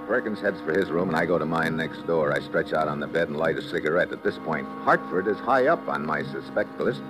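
An American actor playing a tough, suspicious secret agent, terse and commanding, in a 1950s radio drama. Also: male voice, a bass voice, animated, a little fast, low-quality recording. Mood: hard-boiled, brooding. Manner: terse, hard-boiled, commanding. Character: tough, suspicious male secret agent narrating his adventure. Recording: old radio broadcast with loud music.

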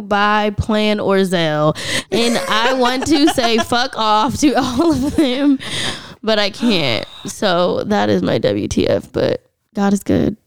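A woman's voice: mid-range voice, vocal fry, clean tone, dry recording, clean studio sound. A young woman co-hosting a podcast in a chatty, laughing way, swearing freely as she talks. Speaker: podcast co-host. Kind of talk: casual podcast chat.

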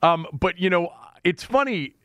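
A man's voice: high-pitched, lively intonation, a little slow, fairly bright tone, no room sound. Rambling male sports host, close dry sound. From Washington, D.C. area. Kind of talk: casual, nostalgic sports interview.

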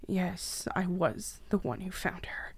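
A woman acting a hurt, angry young woman who confronts her dangerous ex in a dark audio drama, with raw emotion. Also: lowish voice, slow, exaggerated voice. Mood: shaken, quiet. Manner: emotional, swinging between breathy whispers and outbursts.

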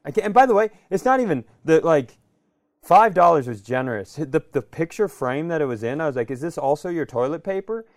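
A man co-hosting a comedy podcast, telling casual funny stories in an animated, sweary way and acting out the dialogue. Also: on the high side, brisk, no echo, studio quality.

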